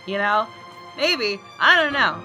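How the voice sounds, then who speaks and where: A woman's voice: medium pitch, very animated, slow. A woman gamer reading a visual novel's story aloud expressively, with casual commentary of her own. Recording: faint game music behind. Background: soft game music.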